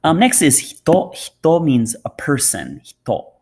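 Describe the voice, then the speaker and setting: A man's voice: on the high side, wildly swooping pitch, a little slow, darkish tone, slightly rough. A man who is a native English speaker gives an informal, unscripted pronunciation lesson, repeating words and adding explanatory asides. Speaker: language teacher.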